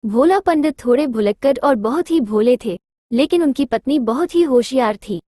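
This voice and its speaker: A woman's voice: sits high, lively intonation, normal speed, warm tone, no echo, studio quality. A woman narrating a scripted children's moral story.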